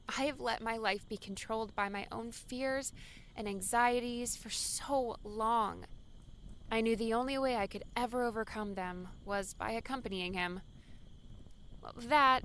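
A woman voicing an anxious, earnest young woman in an audiobook, hesitant, soft-spoken and sincere. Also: on the high side, a little hiss or hum. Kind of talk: audiobook character dialogue.

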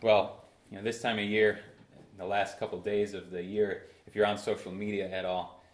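A young man in his twenties preaching a sermon from a church pulpit, with bursts of emphasis and slow, drawn-out phrases. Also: on the low side, flat delivery. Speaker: pastor. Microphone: far camera in a roomy church. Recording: echoey church room.

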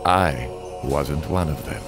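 A man playing a bitter, haunted demolition derby driver who narrates his story in a slow, brooding, theatrical way for a motion comic. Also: very deep voice. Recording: music bed behind the voice.

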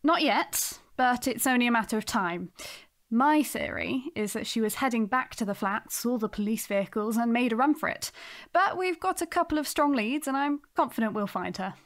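An actress plays a policewoman in an audio drama, a detective sergeant. Her voice is fairly high. She is courteous and businesslike, delivering information with assured confidence. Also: expressive, moderate pace. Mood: brisk, confident. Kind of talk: naturalistic audio drama acting.

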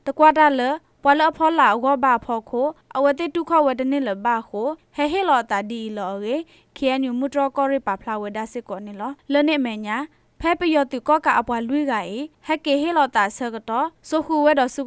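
A woman's voice: high-pitched, no echo. A woman reading scripted news on the radio.

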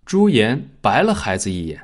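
A man narrating a dramatic scripted story in a measured, expressive storytelling manner. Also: on the low side. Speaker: audiobook narrator.

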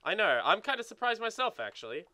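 Very sharp, lively, highish young man. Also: quick pace. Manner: sweary, excitable, repeats words as he gets going. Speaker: let's play co-host. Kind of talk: casual chat while playing a video game.

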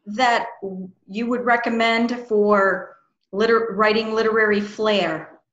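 Middle-aged female interviewer, casual and somewhat slow-paced, on a roomy computer-mic call. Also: medium pitch, fairly even intonation, a bit bright. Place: small room at home, on a video call. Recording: roomy, dull video-call audio.

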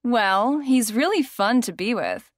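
A woman's voice: on the high side, animated, measured pace, no echo, clean studio sound. An actress playing a fond young woman chatting in a scripted language-course dialogue. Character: fond young woman describing her boyfriend.

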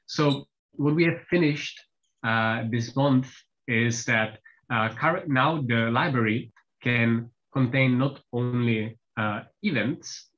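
A man whose first language is Czech, presenting software updates on an online team call, pausing often with fillers. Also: mid-range voice. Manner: hesitant, many filler pauses. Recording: slightly dull lo-fi call audio.

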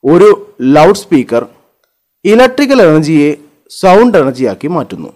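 A man narrating an electronics lesson over slides, listing terms one at a time in a measured way. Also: fairly high pitch, big pitch swings, darkish tone. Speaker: teacher.

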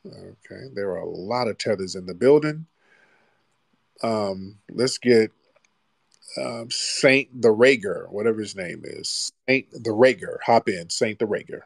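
A man's voice: lowish voice, a little slow, gravelly, slightly rough, no room sound. A man hosting a live call-in stream, teasing and mocking his callers in loose, rambling chat. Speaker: livestream host taking callers.

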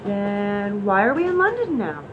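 A woman's voice: sing-song, measured pace, over music. A woman acting a scatterbrained, cheeky train passenger who dodges questions in a radio comedy. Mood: evasive, cheeky. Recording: muffled recording over loud train rumble.

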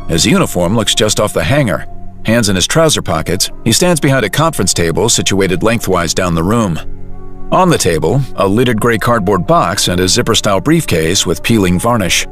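A man's voice: on the low side, lively intonation, normal speed, fairly bright tone, faint music behind. A man narrating a dramatised audiobook in a wry, colloquial storytelling manner.

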